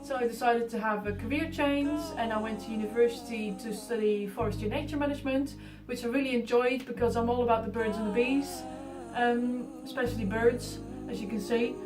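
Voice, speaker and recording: A woman's voice: on the high side, steady tone, fairly quick. A woman of about thirty with a Dutch accent, talking informally and a little hesitantly about her own life and work as an artist. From Netherlands. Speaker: artist being profiled. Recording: roomy echo, distant mic, music behind.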